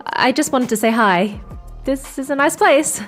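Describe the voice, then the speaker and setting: A woman's voice: high-pitched, measured pace, faint music behind. An actress playing a guarded, grieving mother on a space mission in a sci-fi audio drama, polite and hesitant in her delivery.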